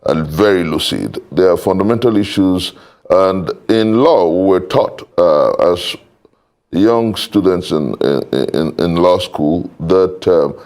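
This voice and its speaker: A Nigerian man speaking as a panel guest, giving political commentary in a deliberate, rhetorical way with formal wording. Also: sits low, darkish tone.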